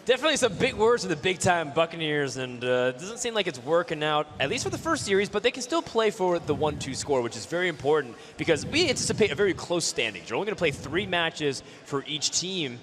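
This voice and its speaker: Lively, animated male esports caster. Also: fairly high pitch, quick pace, few pauses, faint music behind. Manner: lively, upbeat play-by-play. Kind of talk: live esports commentary.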